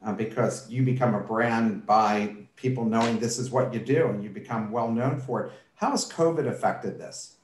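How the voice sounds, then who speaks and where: A man's voice: lowish voice, moderate pace, slight background noise. A middle-aged man hosting an interview over a video call, reading friendly introductions and asking questions. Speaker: podcast host. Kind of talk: interview host. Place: office indoors. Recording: roomy echo on a video call.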